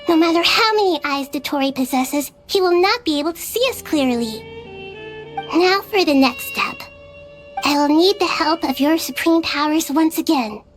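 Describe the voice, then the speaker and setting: A woman voicing a wise, gentle young goddess girl in a video game, speaking with composed authority. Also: high voice, animated, moderate pace, soft background music. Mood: composed, grateful.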